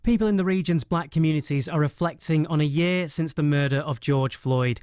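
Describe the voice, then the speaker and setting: Man, British accent, news presenter, scripted bulletin delivery, fairly high pitch, dry close recording. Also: a little mellow, no echo. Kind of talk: scripted radio news reading.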